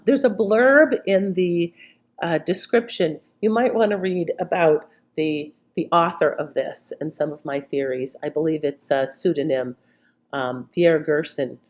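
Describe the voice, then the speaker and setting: A woman hosting a podcast, hoarse and under the weather, chatting in a rambling casual way. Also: on the low side, a little mellow. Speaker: podcast host. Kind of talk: casual introduction.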